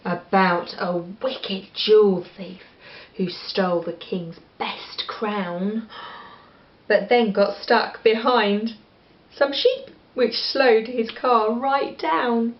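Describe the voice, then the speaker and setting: A woman with an English accent reading a children's story aloud in an expressive style. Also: mid-range voice, very slow. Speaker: storybook reader. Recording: echoey room, slightly dull sound.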